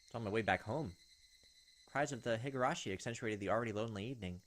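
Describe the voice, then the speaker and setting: A man's voice: fairly low pitch, quick pace. A man hosting a gaming video, reading story text aloud expressively and dropping in casual asides.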